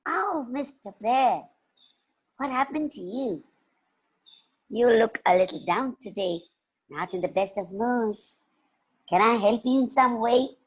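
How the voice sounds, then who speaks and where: A woman's voice: fairly high pitch, expressive, slow pace, pauses often, slightly rough, voice pushed up, full character voice, poor audio. A woman voicing a kind, bold little girl in a children's tale, coaxing and cooing affectionately. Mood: curious, caring.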